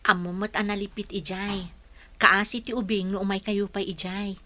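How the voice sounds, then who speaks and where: A woman's voice: medium pitch, level tone, normal speed, bright, forceful tone, lightly acted. A woman playing a caring older village mother in a radio drama, coaxing and advising. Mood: concerned, advising.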